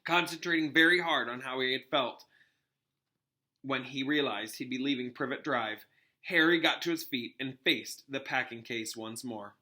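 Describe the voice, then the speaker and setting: A man, a teacher, reading a novel aloud as expressive storybook narration. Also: mid-range voice, normal speed.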